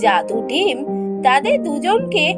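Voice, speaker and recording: A woman's voice: high voice, sing-song, slow, loud music behind the voice. A woman narrating a fairy tale as a scripted cartoon storyteller.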